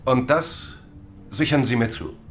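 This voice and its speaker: An actor playing a smooth-talking, vain, evasive sea captain in a radio crime drama, with a grandiloquent, hedging manner. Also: male voice, medium pitch, measured pace, slight background noise, close to an everyday voice. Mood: sly, bargaining. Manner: grandiloquent, chuckling, hesitant hedging.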